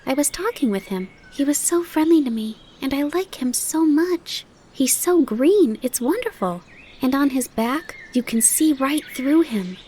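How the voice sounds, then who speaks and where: Woman doing a high, eager little fawn. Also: lively intonation, unhurried, darkish tone, a little hiss or hum. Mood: excited. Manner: breathless, wide-eyed questions. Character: eager young fawn boy, curious and excitable.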